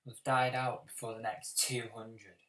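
A man performing a dramatic audition monologue as a bitter, apocalyptic schoolboy, speaking deliberately with heavy pauses. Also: medium pitch, little pitch movement. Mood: grim foreboding. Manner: deliberate, heavy pauses, swelling from hushed to forceful. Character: bitter, brooding, apocalyptic schoolboy confronting a bully. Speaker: actor auditioning. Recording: roomy, distant microphone.

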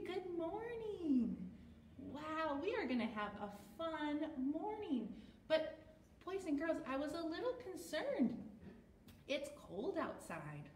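High-pitched, sing-song American woman, slow-paced. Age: young adult. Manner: animated sing-song, exaggerated child-directed speech. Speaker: children's librarian hosting storytime. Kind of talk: toddler storytime talk to children and parents. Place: small bare room indoors at home. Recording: roomy, distant mic, background noise.

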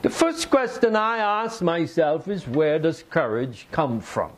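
An older man preaching a sermon from a lectern in a small room, slow and deliberate with pauses. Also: a little high, animated. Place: small indoor room by a fireplace mantel.

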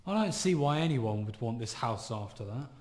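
A man's voice: mid-range voice, animated, normal speed, a little mellow, close to an everyday voice. Echoey, wry skeptical man played by an actor. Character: wry, skeptical man exploring a creepy house. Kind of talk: conversational radio drama acting. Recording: echoey room with faint noise.

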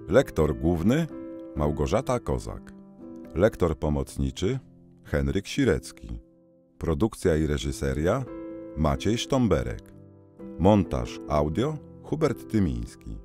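A man reading scripted closing credits for an audiobook as announcer. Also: very deep voice.

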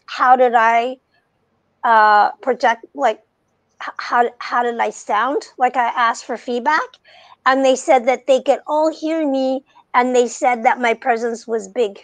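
Middle-aged woman, high soft voice, animated casual chat, dry close sound over earbuds. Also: measured pace, dry recording. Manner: animated, restarts sentences. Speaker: podcast host. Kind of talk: casual conversation. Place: home room, video call. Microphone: wired earbuds headset.

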